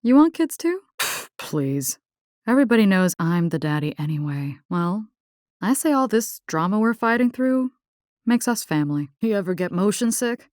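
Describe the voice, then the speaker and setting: A woman's voice: medium pitch, big pitch swings, normal speed, darkish tone, no echo, clean studio sound, natural voice. A woman playing a spirited, caring young combat engineer in a video game, with a Latin American accent and informal, sassy talk that slips Spanish into English. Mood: teasing, frank.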